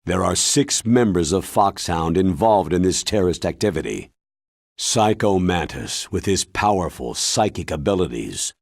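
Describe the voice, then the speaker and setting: A stern game colonel giving a briefing, deep and husky, deliberate, swings his pitch for emphasis. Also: expressive, no room sound, clean studio sound, exaggerated voice. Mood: grave, dramatic. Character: grave, authoritative older male military commander giving briefings. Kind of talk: video game cutscene acting.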